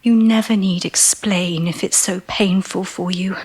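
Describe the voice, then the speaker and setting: Casting: eager young sweetheart, audio drama. Hushed and breathy, gushing, coaxing, soft-hearted. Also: female voice, mid-range voice, fairly even intonation, a little slow. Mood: concerned, tender. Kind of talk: intimate audio drama acting.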